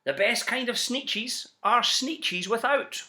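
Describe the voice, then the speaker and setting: A man's voice: very high voice, slow, sharp tone, pitched above the performer's own voice, heavily acted. A man voicing snooty, boastful storybook creatures with a haughty, crowing manner. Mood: boastful, loud. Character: snooty, boastful Sneech creatures, indignant and gloating.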